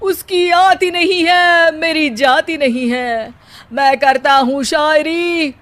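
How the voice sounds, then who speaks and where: A woman's voice: high voice, takes it slowly, fairly bright tone. A woman voicing a cheeky, jobless young husband in a cartoon comedy, theatrically declaiming rhyming couplets. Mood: mock aggrieved.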